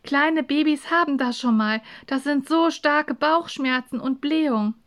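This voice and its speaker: Woman voicing a high, lively, teasing schoolgirl. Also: measured pace. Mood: explaining.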